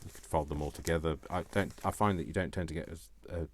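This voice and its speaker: A low-pitched man chats his way through a hands-on craft demonstration. He rambles easily, poking fun at himself with little jokey asides. There is noticeable noise on the recording. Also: fairly even intonation, quick pace. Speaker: craft tutorial presenter. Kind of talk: casual, chatty craft demonstration. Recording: noticeable background noise, small clicks.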